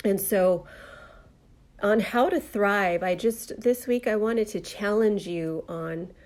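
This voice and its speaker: A woman with a slightly nasal voice from a cold, hosting a live online talk show and speaking in a gentle, heartfelt way with many fillers. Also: medium pitch, slow. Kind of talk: casual live-stream monologue.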